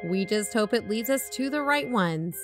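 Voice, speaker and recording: An actress playing a cautious, pragmatic amateur investigator in a podcast drama, measured and matter-of-fact. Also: female voice, medium pitch, animated, over music, natural voice. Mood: measured, concerned. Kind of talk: fictional podcast narration and dialogue.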